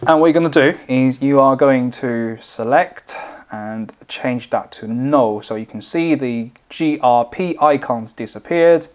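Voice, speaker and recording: A man's voice: medium pitch, measured pace. Man giving an informal step-by-step tutorial. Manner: step-by-step, unscripted explaining. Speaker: product demonstrator.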